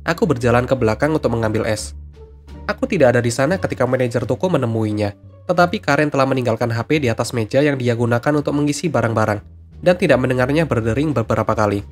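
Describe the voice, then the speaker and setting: A man's voice: mid-range voice, animated, fast talker. A man reading out scripted stories as a narrator, with music behind him.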